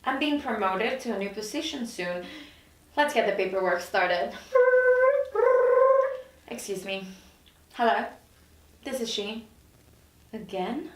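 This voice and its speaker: An actress playing a sharp, impatient, ambitious woman with a curt, demanding delivery, at a TV script table read. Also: medium pitch, takes it slowly, pauses often, slight background noise, lightly acted. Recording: roomy recording, mic at a distance.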